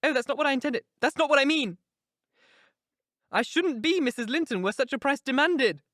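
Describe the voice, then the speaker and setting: A woman's voice: sits high, animated, normal speed, a bit bright, no echo, clean studio sound, pitched above the performer's own voice. A woman voicing an impetuous, headstrong young woman who speaks with intense, emphatic passion in an audiobook reading. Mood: vehement.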